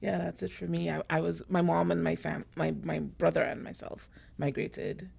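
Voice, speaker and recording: A woman's voice: fairly low pitch, fairly even intonation, normal speed, creaky, slightly rough. A woman from Trinidad and Tobago, a podcast guest, answering briefly and hesitantly. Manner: brief, soft-spoken, hesitant. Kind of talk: casual podcast conversation.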